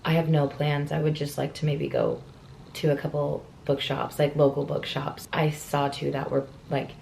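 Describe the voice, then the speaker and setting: Young woman, low raspy voice, slightly hoarse, gravelly fry, candid rambling vlog talk, faint noise behind. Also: level tone, moderate pace. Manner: candid, rambling, soft-spoken. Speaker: vlogger. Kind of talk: casual talk to camera. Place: hotel room with rain and traffic outside.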